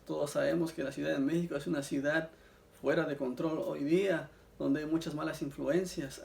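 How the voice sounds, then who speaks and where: Gravelly Mexican man giving testimony. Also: a little high, a little mellow. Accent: Mexican Spanish. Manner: earnest, addresses listeners as brothers, frequent "eh" fillers. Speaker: church member sharing his testimony. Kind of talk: personal religious testimony.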